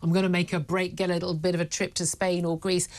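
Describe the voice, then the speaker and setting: A woman's voice: lowish voice, flat delivery, fast talker. A British woman presenting a live news interview in a chatty, emphatic and opinionated way.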